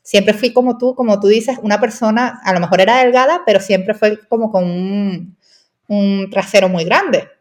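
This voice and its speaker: A woman's voice: mid-range voice, steady tone, normal speed. A young woman from Venezuela with a Venezuelan Spanish accent, a nutritionist chatting as a podcast guest and peppering her talk with tag questions. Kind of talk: casual interview chat.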